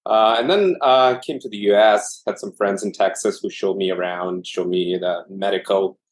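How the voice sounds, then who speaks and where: A man from Russia, an anesthesiologist in his thirties, telling his career story in a relaxed way with lots of fillers. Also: sits low, normal speed. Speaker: anesthesiologist guest. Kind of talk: casual interview conversation.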